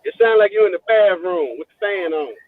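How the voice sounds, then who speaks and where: A man in his forties talking football casually as a panelist, animated and prone to repeating himself. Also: sits high, a little fast, narrow, tinny audio. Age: 40s. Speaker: panelist on college football fan talk show. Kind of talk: casual sports chat.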